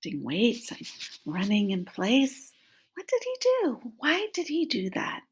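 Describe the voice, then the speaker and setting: Slow, slightly husky woman reading to children. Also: on the high side, wildly swooping pitch. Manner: prompting, question-asking, addressing young children. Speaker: teacher reading a picture book aloud. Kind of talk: interactive children's story read-aloud. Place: small room at home. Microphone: laptop microphone at arm's length.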